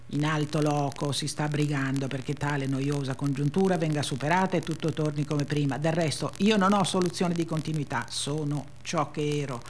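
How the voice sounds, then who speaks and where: A woman giving an expressive, dramatic literary reading with measured, emphatic phrasing and deliberate pauses. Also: sits low, a little hiss or hum. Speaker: reader on a radio theatre programme.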